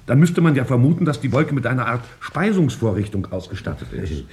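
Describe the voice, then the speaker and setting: A man plays a senior scientist in a fifties German radio play. He is measured, skeptical and formal. His mid-range voice rises and falls widely as he orders the argument. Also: mid-range voice, sing-song, a little mellow, lightly acted. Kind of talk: 1950s German radio drama acting.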